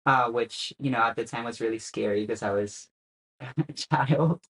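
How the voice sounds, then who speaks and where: A young man speaks at an ordinary middle pitch. He talks casually and a little haltingly, with plenty of "uh" fillers between his thoughts. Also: low-quality recording. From Spain. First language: Spanish. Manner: relaxed, hesitant with frequent "uh" fillers and soft laughs. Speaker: guest. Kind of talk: casual interview chat.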